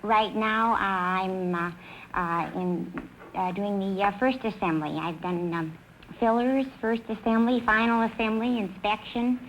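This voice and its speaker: A woman in her twenties answering a quiz host's questions softly and hesitantly, with many fillers. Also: mid-range voice, steady tone, unhurried, rough recording. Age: 20s. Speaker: quiz show contestant. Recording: hissy old broadcast recording.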